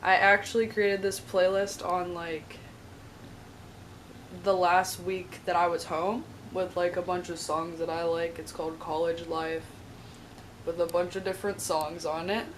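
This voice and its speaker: Young adult woman, college vlogger, low-ish voice, slightly raspy, chatty filler-heavy delivery, mic a bit distant. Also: little pitch movement, unhurried, slight background noise. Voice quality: chatty, lots of "like" and "um". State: slightly raspy from a sore throat. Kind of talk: casual vlog talk.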